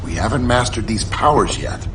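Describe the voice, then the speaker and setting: A man playing a level-headed scientist hero in a video game cinematic, measured and admonishing, the voice of reason. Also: lowish voice, animated, noisy background, lightly acted. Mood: stern, reasoning.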